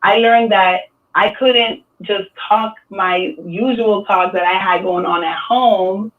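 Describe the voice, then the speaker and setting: A man in his thirties with a New York accent speaks in a relaxed, reflective tone, his voice sitting high. He sounds thin and phone-like, set back from the microphone. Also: slow. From Dominican Republic, raised in New York City. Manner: relaxed, reflective, many fillers. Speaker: artist. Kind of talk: casual panel discussion. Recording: tinny, distant phone-like sound.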